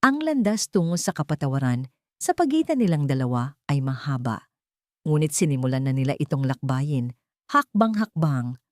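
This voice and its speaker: A woman reads a scripted story in a low voice. Her delivery is sentimental and measured, with lively, wide-moving intonation. The sound is close and dry. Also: dry recording. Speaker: audiobook narrator.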